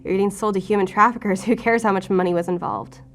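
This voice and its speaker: A woman's voice: medium pitch, fairly even intonation, fast, slight background noise, natural voice. An actress playing a wounded, guarded female FBI agent who talks with sardonic defiance in an audio drama. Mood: bitter. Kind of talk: audio drama acting, confessional.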